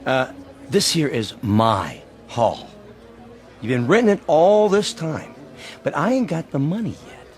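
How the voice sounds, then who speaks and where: Pushy landlord, highish lively voice. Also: male voice, unhurried. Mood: impatient. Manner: blunt, streetwise, pushy. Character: gruff, impatient hall landlord demanding rent. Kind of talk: radio drama acting.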